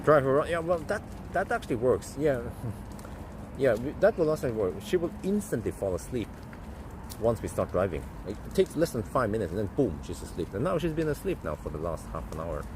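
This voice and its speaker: A man, rambling through casual live-stream chat as host and thinking aloud with fillers and restarts. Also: a little fast. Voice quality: deep voice. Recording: steady vehicle and street noise.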